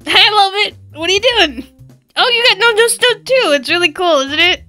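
A woman improvising a casual roleplay in a game with friends, talking in an excitable, bossy way. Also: extremely high pitch, brisk, faint music behind. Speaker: gamer in a group roleplay.

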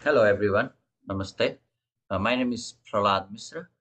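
A man in his thirties from Bhutan introducing himself on a video call, halting, with many uh's and restarts. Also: lowish voice, a bit bright. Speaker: panelist, support group leader. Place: indoor room. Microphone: laptop camera on a video call.

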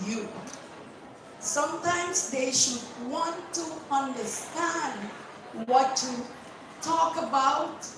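A high-voiced, slow-paced woman from Suriname with a Surinamese accent, English not her first language, speaking earnestly into a handheld mic. Her voice rings through a very echoey seminar room, picked up from a distance with clear background noise. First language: not English; Dutch learned in school. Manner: earnest, direct, speaks without notes. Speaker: guest speaker, ambassador. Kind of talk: unscripted public speech. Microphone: handheld microphone. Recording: echoey room, far from camera, noisy.

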